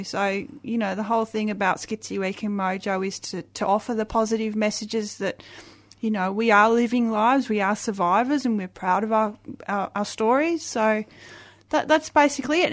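A woman with a loud voice, a guest on a radio interview, talking eagerly with many restarts and fillers. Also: fairly high pitch. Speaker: guest, community festival organizer.

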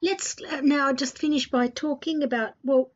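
A woman's voice: sits high, fairly even intonation, normal speed, dull audio. A woman hosting a radio discussion, moderating in a conversational way. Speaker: radio show host.

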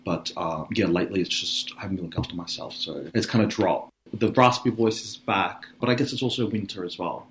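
Raspy aussie guy, kinda low voice, chatting loose and thoughtful, lots of restarts. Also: fairly quick, few pauses, slight background noise. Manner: relaxed, reflective, with restarts. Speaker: guest, drag performer. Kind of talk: casual interview talk.

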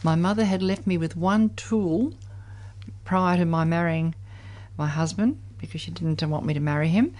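A woman's voice: lowish voice, moderate pace, a little mellow. A woman of seventy sharing her personal story in conversation, reflective and candid. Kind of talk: personal recollection in group conversation.

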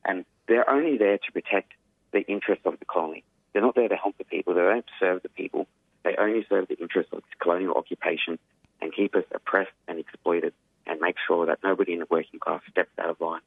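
A man, an activist guest speaking earnestly in a radio interview, his voice croaky. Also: deep voice, fast, no room sound. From Australia. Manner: earnest, emphatic, frequent "you know" fillers. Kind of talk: radio interview over phone. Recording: tinny phone line, dry.

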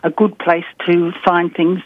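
A woman calling in to a radio show, a garden guide chatting warmly and laughing often, heard over a phone line. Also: lowish voice, completely flat delivery, a little slow, no room sound. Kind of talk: casual radio chat. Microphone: telephone.

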